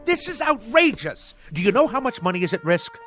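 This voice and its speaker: A man's voice: high-pitched, very animated, fairly quick, a bit bright, soft background music. A man playing a pompous, impatient businessman in a radio drama, fussy, formal and indignant. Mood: indignant, frantic. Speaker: actor in a radio play.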